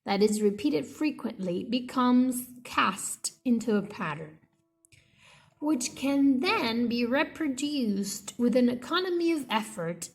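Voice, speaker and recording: A woman reading an academic textbook aloud as scripted narration, with measured, deliberate phrasing in short segments. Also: on the high side.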